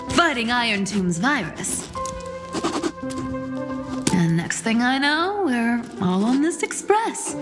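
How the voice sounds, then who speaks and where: A woman's voice: on the high side, in a large hall. A woman playing a sly, teasing trickster in a video game, with a theatrical, playful delivery. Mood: playfully defensive.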